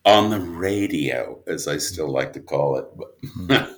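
Low-pitched, slow-talking man, casual and rambling. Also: expressive. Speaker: interview guest, audio drama writer and producer. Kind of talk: casual reflective conversation.

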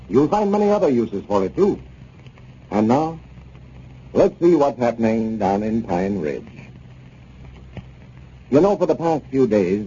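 A man's voice: mid-range voice, dark tone. A man working as a radio announcer, reading sponsor copy and scene-setting narration in 1930s style. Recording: noisy dull 1930s radio recording.

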